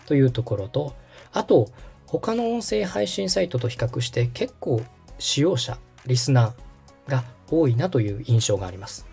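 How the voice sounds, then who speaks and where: A man's voice: mid-range voice, dark tone, breathy edge. A man hosting a step-by-step tutorial, explaining things politely and guiding the listener along. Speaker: podcast host and tutorial instructor, radio director.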